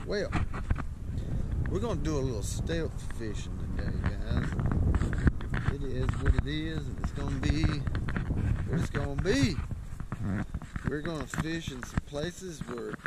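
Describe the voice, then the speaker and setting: Man vlogging outdoors over clear background noise. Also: animated, unhurried, pauses often. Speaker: fishing channel host. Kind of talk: casual outdoor fishing vlog. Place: outdoors by a river below a dam. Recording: noisy outdoor recording with ambient rumble.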